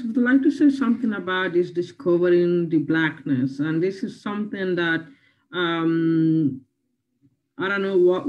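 A woman speaking on a discussion panel, reflective and hesitant, thinking aloud. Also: lowish voice. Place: indoor room, video call. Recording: dull, lo-fi video call audio.

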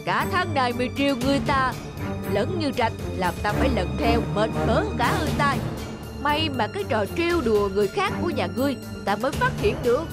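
High, menacing cartoon viper. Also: female voice, animated, fairly quick. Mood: menacing, gloating. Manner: threatening, scolding. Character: menacing green viper, vengeful and taunting bully. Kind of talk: animated fairy-tale dub. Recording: loud music under the voice.

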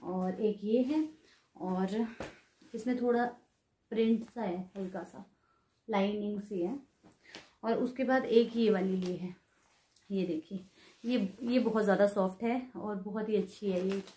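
A woman in her thirties talks through a shopping haul in a casual, explanatory way, holding clothes up to the camera as she goes. Her voice sits at a middle pitch. The room around her is noticeably echoey. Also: measured pace, long pauses, mellow. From Himachal Pradesh, India. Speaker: vlogger. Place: bedroom at home, indoors. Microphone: camera in front, medium framing.

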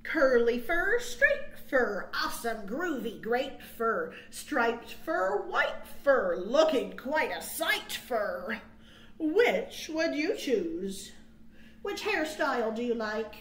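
An American woman in her thirties hosting storytime for young children, with sing-song, drawn-out phrasing, recorded indoors on a webcam mic. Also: fairly high pitch, sing-song, fairly bright tone. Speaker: children's librarian, storytime host. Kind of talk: storytime read-aloud and counting rhymes for children. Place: small quiet room indoors. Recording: roomy webcam sound.

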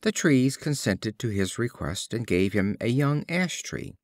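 Slow, lively-pitched man reading fables. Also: no room sound, clean studio sound. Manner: measured, expressive storytelling delivery. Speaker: audiobook reader. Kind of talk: scripted storybook narration of fables.

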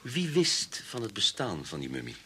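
An actor playing a sharp police inspector in a Dutch radio play, dry and brisk in his questioning. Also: fairly low pitch, wildly swooping pitch, slight background noise. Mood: polite, businesslike. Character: sharp, wry male police inspector of Russian descent.

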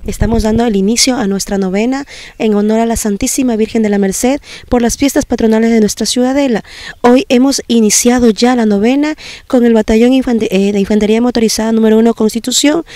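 A woman's voice: a little high, fairly even intonation, fairly quick, warm tone, dry recording. Middle-aged woman talking into a reporter mic outside, earnest, stops and restarts a lot, clean dry sound. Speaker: community organizer. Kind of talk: on-camera interview answers. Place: outdoors at a military base event. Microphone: handheld reporter microphone.

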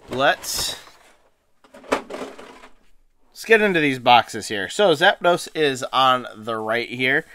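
A man hosting a card-opening video, chatting casually and a little hoarse from a cold, often restarting phrases and laughing as he talks. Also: medium pitch, fairly bright tone, a little hiss or hum. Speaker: card-opening channel host. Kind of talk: casual unboxing chat. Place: indoors at a table, hands on camera.

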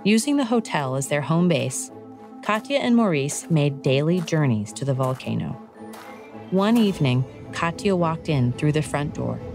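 A woman narrator reading a scripted children's story in a measured, clearly articulated storybook delivery. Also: lowish voice.

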